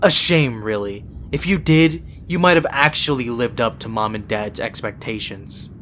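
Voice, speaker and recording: A man's voice: mid-range voice, moderate pace, fairly bright tone, a little hiss or hum, lightly acted. A man playing a principled, exasperated younger-brother vampire prince in an audio roleplay, speaking in an earnest, reproachful way. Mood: bitter, reproachful.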